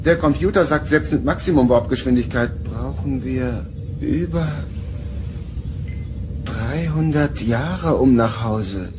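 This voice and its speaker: An actor playing a dutiful starship helm officer in a sci-fi audio drama, reporting in a military manner. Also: male voice, mid-range voice, takes it slowly, music in the background, close to an everyday voice. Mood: sober. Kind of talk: German audio drama acting.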